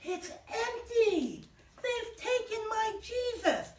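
A woman voicing a devoted, distraught woman mourner in a children's Bible story, pleading in drawn-out, repetitive wails. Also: extremely high pitch, big pitch swings, pitched above the performer's own voice, exaggerated voice. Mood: shocked, distressed. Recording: roomy, distant mic, faint noise.

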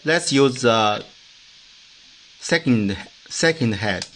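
Slow-speaking man demonstrating a gadget. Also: medium pitch, animated, fairly bright tone, a little hiss or hum, poor audio. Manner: halting, non-native English phrasing. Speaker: demonstrator of a hand-made device. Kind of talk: informal product demonstration.

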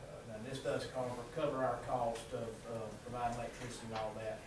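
A man with a Southern American accent presenting a proposal to a town council, halting and full of fillers, recorded from across a small meeting room. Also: medium pitch, little pitch movement. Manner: halting, many fillers and restarts. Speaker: city utility official presenting to council. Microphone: far room camera mic. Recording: echoey room from far off, background noise.

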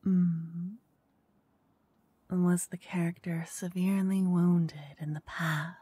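A woman doing a soft-spoken ASMR roleplay as a flirty dungeon master. She teases, then whispers close into each ear in turn. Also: fairly low pitch, flat delivery, takes it slowly, slightly rough. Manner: teasing, flirty, switching between whispers and soft speech. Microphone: close binaural mic.

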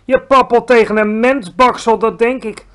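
Storybook villain: enormous brutish male giant, booming, high-set voice, hurling menace. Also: steady tone, moderate pace, a bit bright, smooth. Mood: roaring, suspicious. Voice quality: booming, thunderous. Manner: bellowing, mangled made-up insults. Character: huge brutish bullying man-eating male giant.

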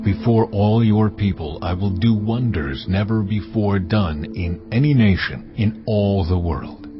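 An actor playing God in a dramatized audio Bible, speaking in a slow, measured, commanding way. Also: male voice, lowish voice, natural voice. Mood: solemn. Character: God, deep solemn voice of the Lord. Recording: slightly dull audio with music bed.

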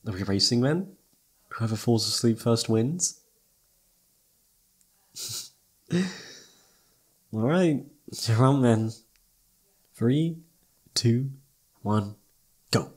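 A man playing an affectionate, sleepy best friend turned lover in an intimate ASMR roleplay, speaking softly and tenderly. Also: medium pitch, lively intonation, drawn-out words, pauses often, slightly rough, heavily acted. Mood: playful.

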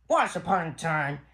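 Man, playful bedtime storyteller, slow rambling delivery, swooping pitch, halting. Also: creaky, bright, forceful tone. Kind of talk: improvised bedtime storytelling with toys.

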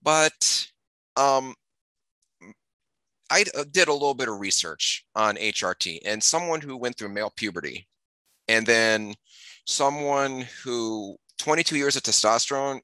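A man's voice: mid-range voice, expressive, measured pace, bright, forceful tone, smooth, no echo. A man hosting a casual interview over a video call on a headset, hesitant with apologetic asides. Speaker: host. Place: home room.

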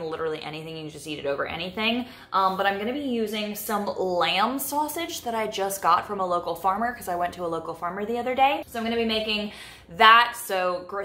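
Young woman vlogging in her kitchen, chatty, lots of likes and you knows, mid pitch. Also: sharp tone. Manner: chatty, many fillers like "like" and "you know". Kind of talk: casual chat to camera. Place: home kitchen, indoors. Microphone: camera in front of her at close range.

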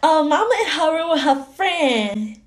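A woman's voice: high-pitched, animated, measured pace. A woman voicing an innocent, chatty little girl who tattles in rambling, naive childlike talk for a comedy skit. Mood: naive, tattling. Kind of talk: comedy skit phone calls.

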